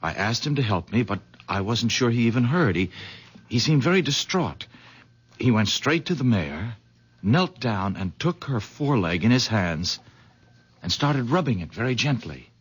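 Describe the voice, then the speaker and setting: An actor playing an eager horse owner who stammers and then gushes, in a 1970s radio drama. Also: male voice, mid-range voice, normal speed, slightly rough. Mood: earnest storytelling. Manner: hesitant, stammering, then excitedly effusive. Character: eager, fussy middle-aged horse owner. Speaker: visitor.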